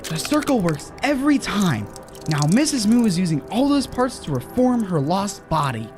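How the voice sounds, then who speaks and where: A woman's voice: medium pitch, very animated, normal speed, over music, lightly acted. A woman playing a scheming, upbeat assistant and kids' show host in a horror comedy audio drama. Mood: cheerfully sinister.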